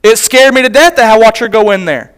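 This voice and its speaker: A man's voice: high-pitched, fairly quick, a bit bright, clean tone. A man, a missionary, preaching to a church congregation, telling a personal story in a conversational way and often restarting his phrases. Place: church sanctuary.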